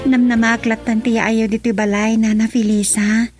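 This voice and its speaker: An actress with an Ilocano accent playing a gentle, apologetic young woman troubled by conscience in a radio drama. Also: fairly high pitch, level tone, normal speed, faint music behind, close to an everyday voice. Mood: reassuring.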